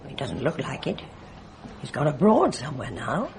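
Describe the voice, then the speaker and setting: A woman playing a gossipy, sharp-tongued nurse who shares scandal in a knowing, confiding way in a radio mystery drama. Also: a bass voice, wildly swooping pitch, normal speed, vocal fry, a little hiss or hum, full character voice.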